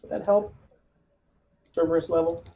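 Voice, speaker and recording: A man playing a tabletop game, asking questions tentatively and thinking out loud, with faint noise behind him. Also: on the high side, steady tone, normal speed, dull audio. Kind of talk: casual, hesitant in-game questioning. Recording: faint background noise, ambience.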